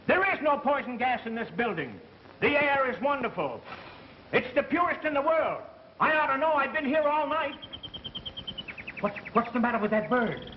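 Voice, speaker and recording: A man's voice: very high-pitched, big pitch swings, normal speed, pauses often. A man acting a frantic, blustering radio station boss in an agitated, demanding 1930s melodrama style, on an old film soundtrack. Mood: indignant. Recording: muffled, hissy vintage 1930s film soundtrack.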